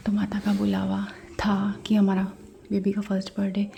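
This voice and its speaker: Woman vlogger, gravelly whisper, dark tone, faint hum behind. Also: mid-range voice, completely flat delivery, normal speed. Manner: whispering early morning. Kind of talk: whispered vlog greeting.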